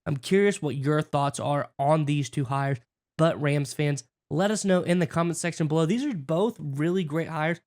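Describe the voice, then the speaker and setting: A man's voice: fairly high pitch, darkish tone. A man hosting a sports talk show, reading news articles aloud and then asking his co-host for his take. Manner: brisk, reads quotes then asks his co-host.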